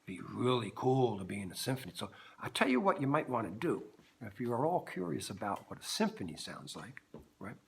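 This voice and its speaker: A middle-aged man with a low bass voice, leading a playful music lesson for children and checking in often with the listener. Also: fairly quick, gravelly. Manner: animated, silly, keeps checking in with "right?".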